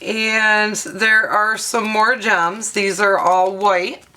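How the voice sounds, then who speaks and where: A woman with a hoarse, half-lost voice, chatting through a craft tutorial at her table and showing items with plenty of ums and uhs. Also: medium pitch, level tone, drawn-out words, bright, forceful tone, slight background noise. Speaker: craft vlogger. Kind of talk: casual show-and-tell craft tutorial.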